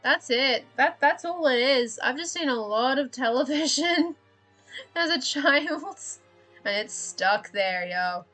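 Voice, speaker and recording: A woman's voice: high-pitched, animated, slow, pushed and bright, faint music behind. A young woman from Australia with a slightly American-drifting Australian accent, chatting casually and rambling with self-deprecating laughs while drawing on a live stream.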